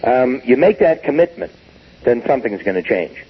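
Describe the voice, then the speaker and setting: A man's voice: medium pitch, moderate pace, breathy edge, muffled recording. A man in his sixties, a business guest talking emphatically in a recorded interview, acting out quotes as he goes. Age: 60s. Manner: emphatic, animated storytelling with acted quotes.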